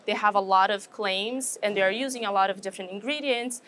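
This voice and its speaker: A woman with a Brazilian Portuguese accent answers questions as an interview guest. She explains things in a measured, careful way and often pauses on fillers and restarts. Her voice sits in a middle pitch. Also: a bit bright, a little hiss or hum. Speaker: animal science professor, guest. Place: trade show.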